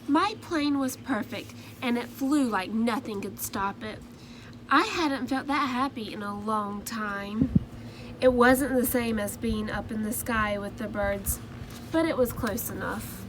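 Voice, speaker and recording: A woman reading the part of a timid male egg who tells his own story in soft, earnest first-person storybook narration. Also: on the high side, a little slow, background noise, close to an everyday voice. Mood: hopeful.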